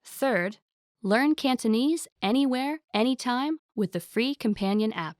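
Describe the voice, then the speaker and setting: A woman's voice: a little high, expressive, measured pace, a little mellow, no room sound, studio quality. A woman reading a scripted promotional voice-over in an upbeat, salesy way. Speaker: ad announcer.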